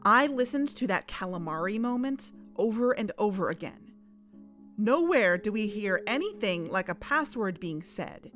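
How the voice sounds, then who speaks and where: A woman's voice: mid-range voice, very animated, soft background music. A woman playing a hesitant, troubled investigator. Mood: accusing. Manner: hesitant, soft, halting. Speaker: investigator in audio drama. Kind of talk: audio drama acting.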